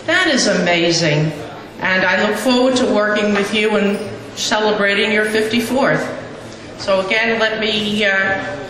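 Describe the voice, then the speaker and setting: A woman's voice: mid-range voice, unhurried. Woman giving a speech in an echoey hall. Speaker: state legislator giving a speech. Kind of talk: ceremonial speech. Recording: echoey hall, distant mic, crowd noise.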